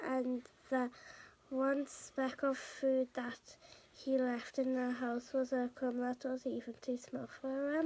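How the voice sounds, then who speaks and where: A woman's voice: sits high, a little mellow, poor audio. A woman reading a children's storybook aloud as a podcast host, stumbling and repeating words now and then. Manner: halting, stumbling reader who repeats words. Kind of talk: read-aloud storybook narration.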